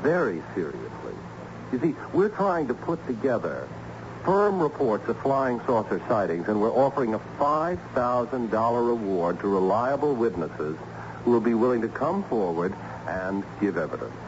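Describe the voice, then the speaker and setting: A male actor as an intense young schemer in an old radio play. He talks in smooth, probing patter with a very mobile pitch. The recording sounds dull, with clear background noise. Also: big pitch swings, moderate pace, natural voice. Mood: smooth, earnest. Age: young adult. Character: intense, devious young man posing as researcher. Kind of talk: 1970s radio drama acting.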